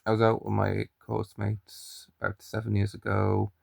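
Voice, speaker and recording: Young man, mild Irish accent, rambling vlog chat, deep creaky voice. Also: monotone, normal speed, dry recording, clean studio sound. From Ireland. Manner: rambling, chatty, frequent restarts. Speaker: daily vlogger. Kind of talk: casual chat to camera.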